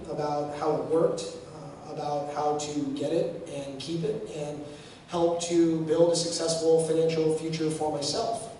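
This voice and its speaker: A man speaks to a student audience in a loose, conversational way, stumbling into restarts and fillers. His tone is mellow and a touch higher than most men's. He is heard from a distance in a very echoey room with faint background noise. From San Francisco Bay Area. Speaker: panel speaker.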